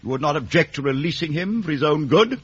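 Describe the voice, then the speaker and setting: Husky, pompous lawyer. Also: male voice, a little high, lively intonation, quick pace, no echo. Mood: officious. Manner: formal, clipped, officious. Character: brusque, pompous London lawyer. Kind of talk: 1950s radio drama acting. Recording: muffled vintage radio recording.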